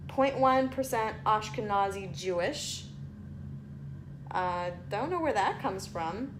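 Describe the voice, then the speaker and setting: Young woman, casual vlogger, chatty asides, reads aloud, mid-pitched voice, faint hiss behind. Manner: chatty, reads aloud with asides, stumbles over place names. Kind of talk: casual vlog reading out results.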